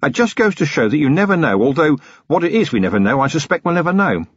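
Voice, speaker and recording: A man voicing a seasoned, gruff police commander in an audiobook, dry, matter-of-fact and fatherly. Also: fairly high pitch, very animated, quick pace, dry recording, highs cut off, pitched above the performer's own voice. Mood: wry, wary.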